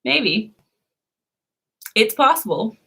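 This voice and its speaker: A woman's voice: on the high side, sing-song, very slow, gravelly, sharp tone, slightly rough. A young woman hosting a casual live stream, who chats, laughs often and answers her viewers' comments.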